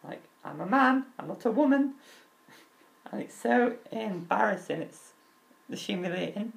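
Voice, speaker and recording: A young man with a crackly, high-pitched voice, talking openly and earnestly about himself, with halting restarts. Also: animated, gravelly, fairly bright tone. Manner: open and earnest, halting restarts, nervous laughs. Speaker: vlogger. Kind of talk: candid personal talk.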